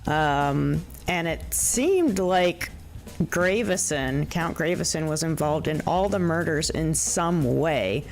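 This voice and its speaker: A hoarse woman streaming a game, chatting casually with her viewers into a desk microphone. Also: deep voice, takes it slowly, faint music behind. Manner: chatty, talks to viewers, frequent ums and laughs. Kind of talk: casual livestream commentary.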